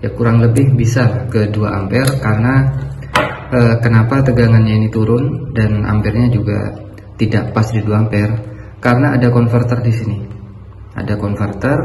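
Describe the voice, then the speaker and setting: A man's voice: lowish voice, steady tone, normal speed, mellow. A man giving a casual hands-on product review, explaining step by step in a reverberant room. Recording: echoey reverberant room with background noise.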